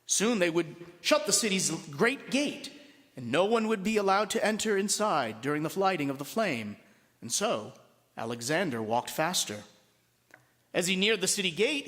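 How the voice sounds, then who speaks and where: A man with a high, swooping voice reads a story aloud as a priest would to his congregation. His delivery is dramatic and deliberate, and the sound rings long through a large church. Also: very animated, a bit bright. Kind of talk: expressive storybook narration to listeners. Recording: long reverberant hall.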